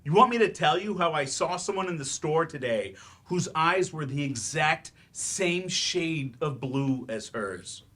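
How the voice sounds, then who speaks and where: A man's voice: a little high, expressive, unhurried, fairly bright tone. An actor in a staged play reading, playing a bitter, grieving, sarcastic man who teaches remedial English and speaks defensively and emphatically. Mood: anguished, angry.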